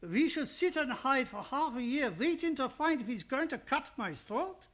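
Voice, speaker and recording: A man playing a fussy, shrewd elderly professor in a radio drama, speaking deliberate broken English with dropped articles. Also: extremely high pitch, animated, fairly bright tone. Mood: exasperated. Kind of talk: old-time radio drama acting.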